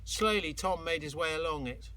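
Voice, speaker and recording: A man reading a children's storybook aloud like a teacher, expressively and dramatically, with suspenseful pauses. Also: a little high, sharp tone. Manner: dramatic, with exclaimed sound effects and suspenseful pauses.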